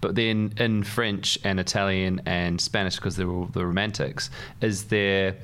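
A man hosting a podcast, asking curious questions, chuckling and filling pauses with ums. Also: low voice, flat delivery, fairly bright tone, smooth. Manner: curious, chuckling, many fillers. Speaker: podcast host. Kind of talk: casual conversation.

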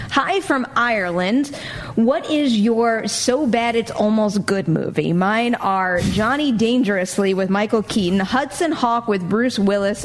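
A woman's voice: medium pitch, a little hiss or hum. A woman hosting a casual movie panel, chatting and taking viewer questions in an enthusiastic, emphatic manner. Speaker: host of a movie talk show.

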